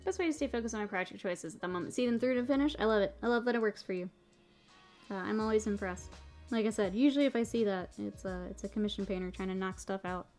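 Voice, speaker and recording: A woman's voice: mid-range voice, fast talker, darkish tone. A woman in her thirties with a blended northern US and Texas accent, talking easily at her hobby desk as she paints. She reads viewer messages aloud, answers them and laughs often, with faint music under her voice. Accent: mixed northern US and Texas. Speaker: streamer painting miniatures. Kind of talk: casual livestream chat while painting.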